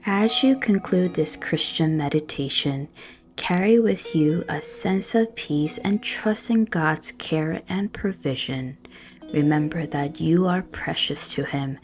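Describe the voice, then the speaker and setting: Slow, low-voiced woman guiding meditation. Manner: gentle, soothing, hushed, measured phrasing. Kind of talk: scripted guided meditation and prayer. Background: music.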